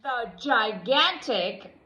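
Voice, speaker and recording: A woman reading a storybook aloud to young children in an expressive, drawn-out way. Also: a little high, muffled recording, noisy background. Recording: echoey room.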